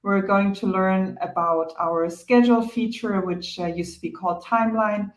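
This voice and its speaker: A woman's voice: mid-range voice, fairly even intonation, normal speed. German-accented woman hosting a webinar. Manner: friendly, organized, with fillers. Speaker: product marketing host. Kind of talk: webinar presenting. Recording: echoey room.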